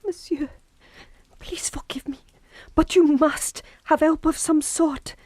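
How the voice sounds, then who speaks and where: An actress playing an anxious, devoted French maidservant in an audio horror drama, deferential, earnest and hesitant. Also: female voice, sits high, fairly even intonation, slow, a little mellow, whispered. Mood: pleading, worried.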